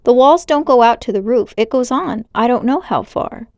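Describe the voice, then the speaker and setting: Woman acting storybook young girl, curious, spirited, pert, animated intonation, dry close sound. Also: fairly high pitch, moderate pace, no room sound, voice pushed up. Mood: pert, wary. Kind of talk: storybook character voice.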